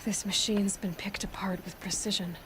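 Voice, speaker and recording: A woman voicing a determined, compassionate young huntress in a video game, musing in a measured way. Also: medium pitch, fairly even intonation, noisy background, natural voice. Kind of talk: video game cutscene acting. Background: background music.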